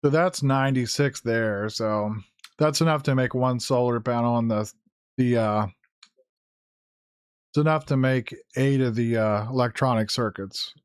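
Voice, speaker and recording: A man with a hoarse, strained voice talks through his gameplay in a loose, rambling way, correcting himself as he goes. His pitch sits in an ordinary middle range. The sound is dry and close, with no room around it. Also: measured pace, no room sound. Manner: rambling, thinking aloud with fillers and self-corrections. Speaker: gaming YouTuber. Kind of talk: casual Let's Play commentary.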